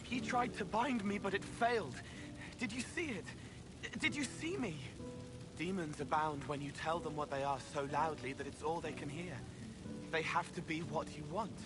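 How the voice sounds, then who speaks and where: A man's voice: a little high, steady tone, moderate pace, loud music behind the voice, natural voice. An actor playing an eager, strange young male spirit in a video game, speaking with intense wonder. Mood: excited, wondering. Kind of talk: video game character dialogue.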